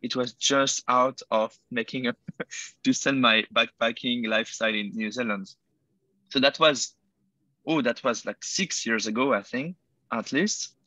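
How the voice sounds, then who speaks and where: A man's voice: mid-range voice, a little slow, pushed and bright, no echo, poor audio. A young man from Switzerland with a French accent, answering interview questions over a video call, hesitant with many fillers and self-corrections. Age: 20s. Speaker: guest entrepreneur. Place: indoor room by a window. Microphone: earbuds on a video call.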